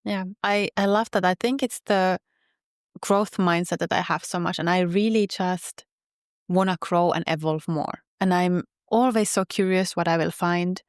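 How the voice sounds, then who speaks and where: A woman with a Finnish accent, a podcast guest speaking in an earnest, measured way. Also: fairly low pitch, fairly even intonation, clear, resonant tone, dry recording, clean studio sound. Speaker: podcast guest, health coach. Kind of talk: reflective interview talk.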